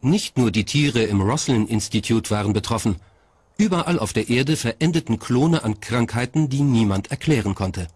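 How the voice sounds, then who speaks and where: A man's voice: mid-range voice, expressive, normal speed, a little mellow, husky, no room sound. A man reading scripted narration as the narrator of a documentary. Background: faint music.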